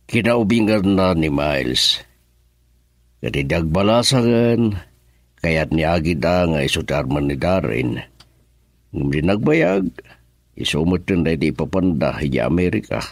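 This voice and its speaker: A man playing a calm, reassuring older father in a radio drama, gravelly, with a Filipino accent. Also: deep voice, unhurried, pauses often, dry recording, clean studio sound, full character voice. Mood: thoughtful. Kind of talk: Ilocano radio drama acting.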